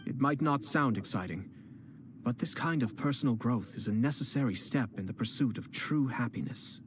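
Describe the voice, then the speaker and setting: An actor playing a poised young clan head and cunning strategist, speaking in a measured, courteous way in video game dialogue. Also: male voice, medium pitch, lively intonation, a little hiss or hum, close to an everyday voice. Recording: game dialogue with music underneath.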